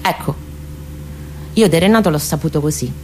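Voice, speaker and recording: A woman with a Roman accent performing a dramatic personal monologue, expressive and intimate, with deliberate phrasing. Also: sits low, slight background noise. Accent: central Italian, Roman. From near Rome, Italy. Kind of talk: dramatic autobiographical storytelling monologue.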